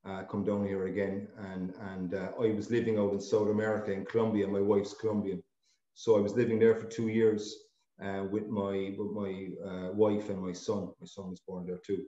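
A man's voice: fairly low pitch, little pitch movement, moderate pace, mellow. A man in his thirties with a Cork accent, a guest talking casually with many fillers and restarts, recorded in an office room. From Ireland. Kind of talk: casual interview. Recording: echoey lo-fi room.

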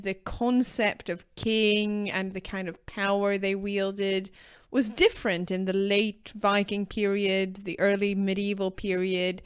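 Slow, smooth-voiced Swedish woman. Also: mid-range voice, no echo, studio quality. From southern Sweden. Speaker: podcast co-host. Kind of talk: casual history podcast chat.

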